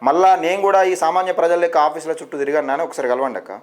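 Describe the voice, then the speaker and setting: A man's voice: fairly high pitch, fairly even intonation, very fast. A man with a Telangana Telugu accent interviewing over the phone as a reporter, insistent and often rephrasing his questions.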